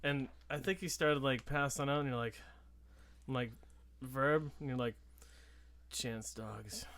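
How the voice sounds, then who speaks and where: A woman co-hosting in casual comic chat, acting out quotes and swearing, with an animated delivery. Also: extremely low pitch, a little slow.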